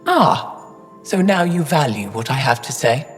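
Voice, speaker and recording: A woman's voice: sits low, very animated, measured pace, big-room sound, soft background music. An actress playing a proud, stern older female alien scientist in a video game, speaking formally and pointedly. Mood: bitter, pointed. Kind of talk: dramatic video game acting.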